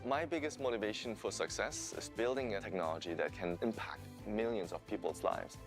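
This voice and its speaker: A man's voice: lowish voice, a little fast, over music. A man from Germany with a German accent, introducing himself as a startup founder and telling his personal story plainly. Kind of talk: intro to camera.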